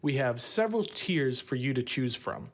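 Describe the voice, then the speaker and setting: A man's voice: medium pitch, animated, normal speed. A man reading a scripted appeal to listeners as the creator of a fiction podcast.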